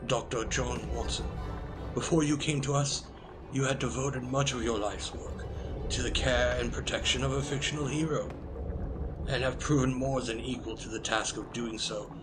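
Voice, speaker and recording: An actor playing an ancient, grave wizard leader in an audio drama, weary and proud, speaking in formal, ceremonious phrases. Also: male voice, medium pitch, fairly even intonation, moderate pace, over music, close to an everyday voice. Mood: solemn pride. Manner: formal, ceremonious, stately speech. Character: ancient Merlin, grave male founder and commander, weary, proud.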